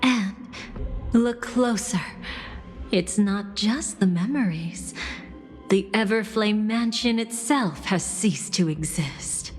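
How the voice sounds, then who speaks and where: A woman playing a seductive, mocking villainess in a video game, a capricious traitor who relishes destruction and speaks in teasing, theatrical, drawn-out phrases. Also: mid-range voice, lightly acted. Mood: sly, nostalgic. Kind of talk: dramatic video game voice acting. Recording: long reverb, game music behind.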